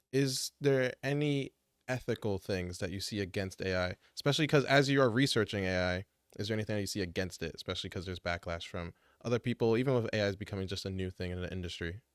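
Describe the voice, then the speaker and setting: A man's voice: lowish voice, a little fast, clear, resonant tone, no room sound, studio quality. A young man hosting a casual podcast interview, laid-back, with lots of "yeah" and "uh" fillers. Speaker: podcast host and editor.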